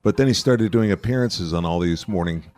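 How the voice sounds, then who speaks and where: A man's voice: lowish voice, fairly quick, darkish tone. A middle-aged man hosting a podcast, chatting casually over a meal at a burger bar and telling stories with a dry, joking manner. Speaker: podcast host.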